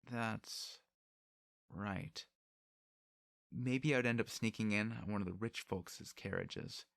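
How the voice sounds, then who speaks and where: A man's voice: fairly low pitch, slow, vocal fry, fairly bright tone, dry recording, clean studio sound, heavily acted. A man voicing a stubborn, anxious young commoner who speaks informally and bluntly in an audiobook. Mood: cornered, subdued.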